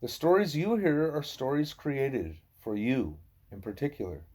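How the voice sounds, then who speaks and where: A man voicing a wise, patient gorilla teacher who lectures in a deliberate, formal way. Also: mid-range voice, sing-song, darkish tone. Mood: solemn.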